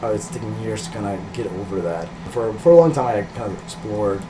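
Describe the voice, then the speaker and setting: Man, middle-aged, low voice, from Peru, casual interview, hesitant and informal, noticeable background hum. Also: a little fast. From Peru, later California. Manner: informal, hesitant, thinking aloud. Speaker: illustrator, interviewee.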